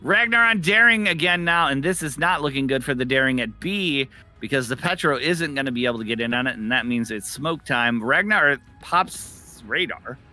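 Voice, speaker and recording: A man's voice: mid-range voice, animated, normal speed, bright, forceful tone, faint music behind. A man giving conversational live game commentary as an esports co-caster.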